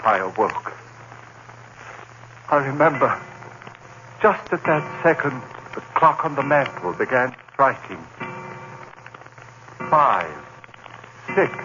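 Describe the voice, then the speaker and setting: A man playing a terrified condemned prisoner recounting his nightmare in halting, anguished phrases, in the style of 1940s radio horror. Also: medium pitch, bright, forceful tone, highs cut off. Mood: shaken relief. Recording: noisy, band-limited old radio recording.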